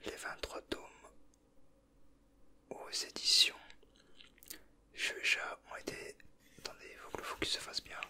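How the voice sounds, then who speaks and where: A man whispering softly in a casual ASMR style, with a breathy, hushed voice. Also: a little slow, long pauses. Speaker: ASMR video creator. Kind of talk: whispered ASMR, chatting and reading aloud from a booklet.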